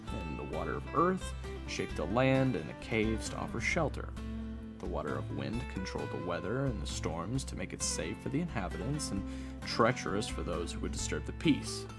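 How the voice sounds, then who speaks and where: A man playing a wistful, earnest cook who tells old legends in a hushed, halting, reverent way for an audio roleplay. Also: on the low side. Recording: music behind the voice.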